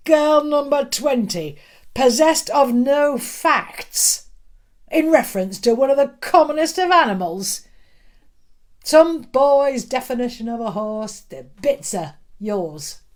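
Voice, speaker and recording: A stern schoolmaster obsessed with facts, acted by a woman in a dramatic storybook reading. He hands down emphatic, commanding pronouncements at a heavy, slow pace. The manner is pompous and domineering. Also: sits high, lively intonation, pitched above the performer's own voice. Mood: loud, scornful. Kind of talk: dramatic audiobook reading of a classic novel.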